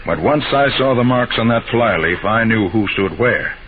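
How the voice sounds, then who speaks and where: Tinny noisy old radio recording, an actor's assured American male master detective, lively intonation, clever, 1940s radio-play style. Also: lowish voice, normal speed, crowd noise, natural voice. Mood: explaining. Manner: assured, explanatory. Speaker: radio drama lead actor.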